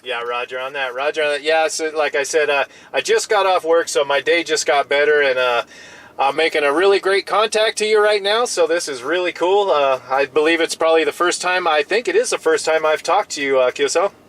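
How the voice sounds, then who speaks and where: A man's voice: a little high, brisk, a bit bright. A friendly, chatty man talking casually in radio operator jargon from a parked pickup truck, often repeating himself.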